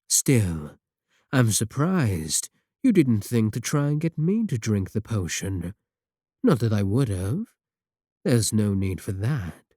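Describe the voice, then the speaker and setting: A man performs as an evil warlock in an intimate audio roleplay, seductive and possessive. The voice is husky and mellow, very close and dry. He teases in slow, deliberate phrases, manipulative and sure of his hold on the listener. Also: fairly low pitch, no room sound.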